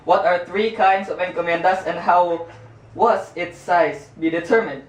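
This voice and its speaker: A man's voice: sits high, unhurried. A young man with a Filipino accent playing a strict history teacher in an amateur puppet show, reciting formally. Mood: formal, stern. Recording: echoey room, distant microphone.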